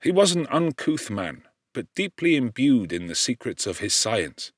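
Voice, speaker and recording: A man reads classic literary fiction for an audiobook. His voice sits at a medium pitch, and his delivery is formal, measured and expressive. The sound is dry, with no room echo. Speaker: audiobook narrator. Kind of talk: scripted literary narration of a classic novel.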